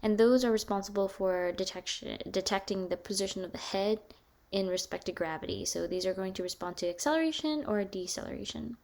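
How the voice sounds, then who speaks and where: A woman lecturing casually on hearing and balance, with a higher voice and many fillers and restarts. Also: fairly quick, creaky, a little mellow. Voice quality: higher-pitched voice.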